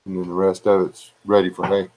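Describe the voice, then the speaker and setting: A man with a drawl chats with his live stream viewers, wandering from one story to the next. His voice sits fairly low and moves slowly and evenly, so calm that it can sound either soothing or dull. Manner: slow, drawling, low-key voice that viewers call relaxing or boring. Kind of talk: rambling casual live chat.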